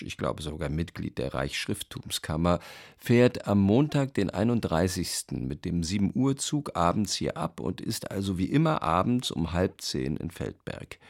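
An actor playing a genial, effusive German publisher of the 1930s in a radio play, reading letters with chatty, old-fashioned courtesy. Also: male voice, low voice, creaky, exaggerated voice.

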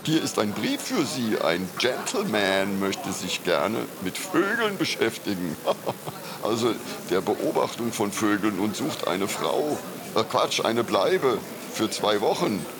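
A man's voice: lowish voice, big pitch swings, slow pace, crowd noise, exaggerated voice. An actor playing a chatty, gossiping postman who calls out sing-song greetings in a radio play. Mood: cheerful coaxing. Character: chatty, gossiping male postman on his morning round.

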